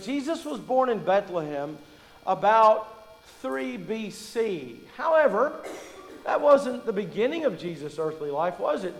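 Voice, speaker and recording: High-voiced pastor from Kentucky, joking. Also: male voice, long reverb tail, low-quality recording. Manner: folksy, joking storyteller. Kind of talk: sermon.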